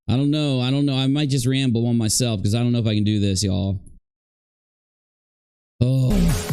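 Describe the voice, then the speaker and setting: A man's voice: mid-range voice, a little mellow, clear, resonant tone. A man commenting live, reacting to clips and talking to his chat in a sarcastic, rambling way. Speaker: livestream commentator.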